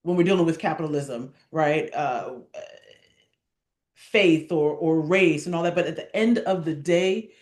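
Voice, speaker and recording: A woman's voice: low-pitched, a little slow, darkish tone, reverberant. A woman being interviewed, speaking conversationally but with conviction, piling up examples and checking her points with rhetorical tags. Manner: emphatic, builds lists, tags points with "right?". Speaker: stage director and actress being interviewed. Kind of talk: impassioned conversational interview talk.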